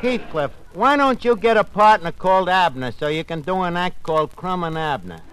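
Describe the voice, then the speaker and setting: An actor playing a sarcastic, streetwise tavern manager with folksy, ungrammatical patter in old radio comedy. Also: male voice, high voice, expressive, moderate pace. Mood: wry, bantering. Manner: folksy, sarcastic, malaprop-prone, hesitant patter. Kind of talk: 1940s radio comedy acting. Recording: noisy old-time radio recording.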